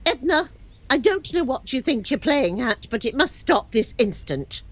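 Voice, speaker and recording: Prim female neighbour: bossy, indignant, very high and animated, fussy panto comedy. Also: moderate pace. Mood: scolding. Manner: clipped, fussy, scolding.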